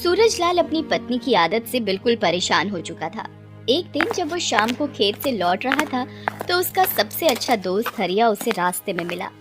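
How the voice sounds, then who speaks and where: A woman storyteller with a fairly high voice reads a scripted moral tale. Music plays clearly behind her narration. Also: sing-song, normal speed.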